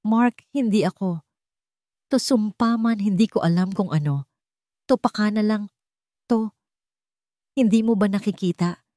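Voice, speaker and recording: A woman's voice: mid-range voice, measured pace, long pauses, dark tone, dry recording, clean studio sound, pitched above the performer's own voice, lightly acted. A woman voicing a desperate wife who is wrongly accused, pleading emotionally in a dramatic audio story. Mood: shocked pleading.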